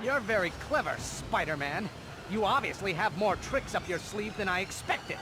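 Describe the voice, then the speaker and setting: A vain game villain with a high, wildly swooping voice. He is pompous and theatrical, a grandiose showman with a bitter jealous streak. Loud noise surrounds him. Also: expressive, moderate pace. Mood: smug, defensive. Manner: pompous, grandiose announcer delivery. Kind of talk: video game villain acting.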